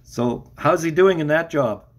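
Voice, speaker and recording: A man's voice: fairly high pitch, a little slow. A middle-aged man hosting a podcast interview in a casual, conversational style with a wry, joking manner.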